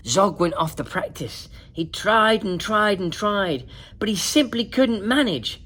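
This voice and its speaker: A woman narrating a children's picture book in an expressive, dramatic, singsong way, like a principal at story time. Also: mid-range voice, unhurried, slightly rough. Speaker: school principal reading to children.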